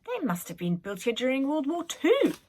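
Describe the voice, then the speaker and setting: A woman's voice: high voice, wildly swooping pitch, moderate pace, darkish tone, voice pushed up, exaggerated voice. A woman voicing a bookish, well-bred young boy prince in a storybook, earnest and precise.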